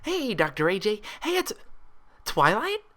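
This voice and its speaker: A man voicing an excitable, loud, bouncy female pony in a story reading. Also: sits high, a little slow, voice pushed up, exaggerated voice. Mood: overjoyed, excited.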